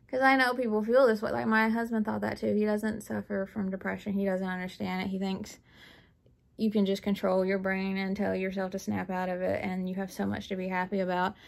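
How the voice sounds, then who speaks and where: A woman's voice: mid-range voice, gravelly. A woman vlogging from her living room floor, talking candidly and bluntly in a rambling way. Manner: blunt, rambling, laughs nervously. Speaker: book vlogger. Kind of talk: candid personal talk.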